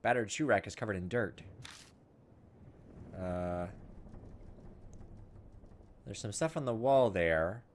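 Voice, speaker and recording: A man's voice: lowish voice, slow, long pauses, a little hiss or hum. A man doing gameplay commentary, casually thinking aloud and reading visual novel text as he goes. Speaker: video game playthrough host.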